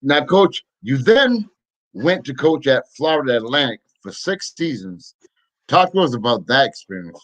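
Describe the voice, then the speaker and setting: A man hosting a sports interview show, effusive and quick to praise his guest. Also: mid-range voice, very animated, a bit bright.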